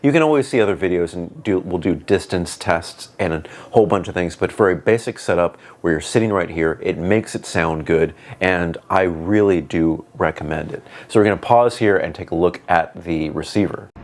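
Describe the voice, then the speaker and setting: A man with a booming voice giving a casual, rambling product review on a clip-on wireless mic, with lots of "like" and "um". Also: moderate pace, few pauses. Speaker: tech reviewer. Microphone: wireless clip-on lapel mic.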